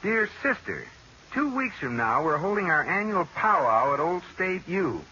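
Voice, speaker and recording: An affable, wry banker in 1940s radio comedy. The voice is very bright and animated, on a muffled old recording with background hiss. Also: male voice, high voice, normal speed, heavily acted. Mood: bemused. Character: affable, bemused banker husband, junior colleague. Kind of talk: 1940s radio sitcom acting.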